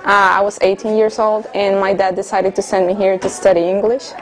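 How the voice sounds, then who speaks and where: A woman of around thirty from Colombia, speaking English, earnestly telling her personal story as an interviewee with soft hesitations. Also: mid-range voice, little pitch movement, a little fast, low-quality recording. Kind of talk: personal testimony in conversation.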